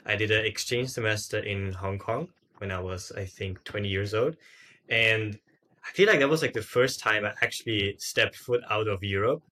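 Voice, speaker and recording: A young man chats as an interview guest. His voice sits slightly low but has a bright, forward edge. He tells his story loosely and informally, with plenty of conversational filler words. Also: normal speed, slight background noise. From Austria. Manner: informal, frequent "like" and "sorta". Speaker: podcast guest, entrepreneur.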